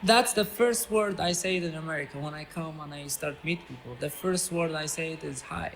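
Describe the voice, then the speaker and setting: A man from Iraq with an Iraqi Arabic accent, a native Arabic speaker, telling his story earnestly in simple, halting English. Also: on the high side, creaky, music in the background, poor audio. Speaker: interviewee in an advert. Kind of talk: personal testimony.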